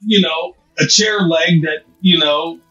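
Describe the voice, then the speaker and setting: An older American man speaks casually as a podcast guest, with a husky, somewhat breathy voice pitched a little high. He talks in a wry, self-deprecating way. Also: slow, pushed and bright, dull audio. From United States. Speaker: pastor and author, guest. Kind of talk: casual interview chat.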